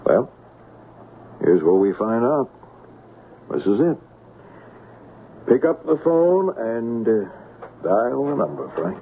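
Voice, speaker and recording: An actor playing a sly, rough-edged male swindler in a 1970s radio drama. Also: medium pitch, very animated, takes it slowly, pauses often. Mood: slyly menacing. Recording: muffled, hissy 1970s radio broadcast.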